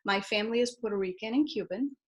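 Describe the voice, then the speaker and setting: A woman from Florida, around her forties, giving a prepared icebreaker speech on a video call in an earnest, measured way. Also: mid-range voice. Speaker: first-time speaker.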